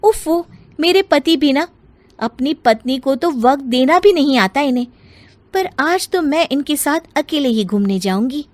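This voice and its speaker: A woman voicing a sly, sweet-spoken young daughter-in-law in a moral story, with expressive delivery. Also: sits high, moderate pace, no room sound. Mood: grumbling. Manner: expressive, musing aloud.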